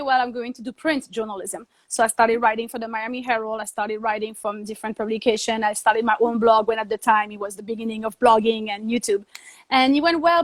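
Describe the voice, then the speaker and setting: A woman's voice: on the high side, no echo. A woman, a TV producer and journalist, telling her story as a guest in a casual interview and acting out her own past thoughts as quotes.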